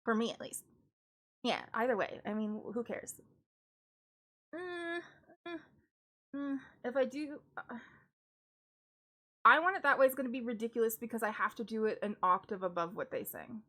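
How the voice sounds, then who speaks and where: A woman's voice: lively intonation, normal speed, long pauses, sharp tone. A young woman, a classical singer streaming live, chatting casually with lots of restarts and giggly asides. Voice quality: high singing voice. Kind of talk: casual stream chat, then singing along.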